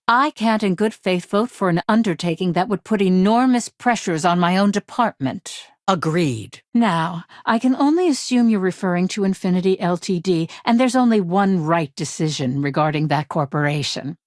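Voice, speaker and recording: A woman playing a brisk, guarded, impatient corporate lawyer in a video game, speaking in a crisp, formal, curt way. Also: fairly low pitch, no room sound, clean studio sound, close to an everyday voice. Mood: firm, formal. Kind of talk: scripted game dialogue.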